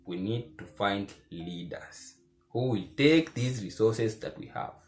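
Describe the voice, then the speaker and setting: A man giving earnest, preachy commentary to the camera, keeping his voice low because he is recording at home at night. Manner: earnest and preachy, repeats phrases for emphasis. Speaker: vlogger.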